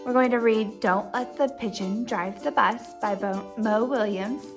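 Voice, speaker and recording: Woman, teacher, high bright voice, children's lesson intro, soft music behind. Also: measured pace.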